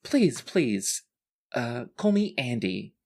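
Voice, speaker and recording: A game master voicing a young princess who is courteous, formal, excitable and easily flustered, in a tabletop game. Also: mid-range voice, takes it slowly, vocal fry, full character voice. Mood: polite, friendly.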